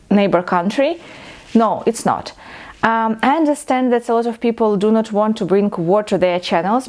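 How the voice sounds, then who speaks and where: A woman in her thirties from Ukraine, with a Ukrainian accent, talking about books to camera with careful pauses and fillers. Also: medium pitch, darkish tone. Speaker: book vlogger. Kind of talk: casual talk to camera.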